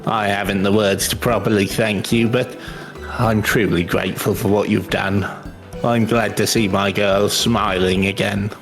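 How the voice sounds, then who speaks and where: A man's voice: lowish voice, steady tone, unhurried. A man voicing a grateful, earnest father in a fantasy game, speaking politely and sincerely. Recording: game music behind the voice.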